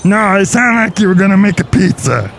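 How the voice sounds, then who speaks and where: A man's voice: sits high, very animated, fairly quick, gravelly, a bit bright, slight background noise, pitched above the performer's own voice, full character voice. A man voicing a scatterbrained, boastful male brewmaster in a comedy podcast sketch. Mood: goofy. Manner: rambling, oblivious.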